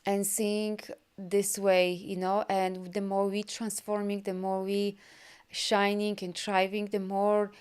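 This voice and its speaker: A woman from Poland talks in English, her second language, on a live video as a healing coach. Her voice is smooth and clear at a middle pitch, and she keeps things encouraging while rambling through lots of ums and restarts. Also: little pitch movement, slow pace, a little mellow. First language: Polish. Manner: loose rambling with many fillers and restarts; upbeat and encouraging. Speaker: energy healer and coach. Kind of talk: live video session reading viewer comments.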